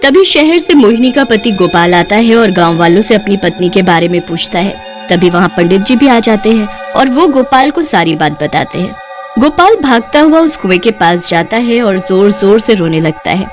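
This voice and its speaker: A woman's voice: mid-range voice, expressive, normal speed. Woman narrating a cartoon story over music.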